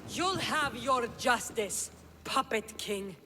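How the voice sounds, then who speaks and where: A woman's voice: on the high side, lively intonation, slow, in a large hall, background noise. An actress playing a bold, resolute Spartan warrior woman in a video game. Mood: accusing, defiant. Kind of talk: video game dramatic acting.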